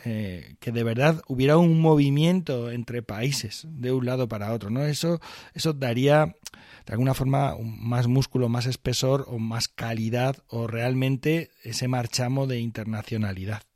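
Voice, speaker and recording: A man co-hosting a podcast, reasoning aloud in a casual, animated discussion. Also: medium pitch, normal speed, dry recording, clean studio sound. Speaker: podcast co-host.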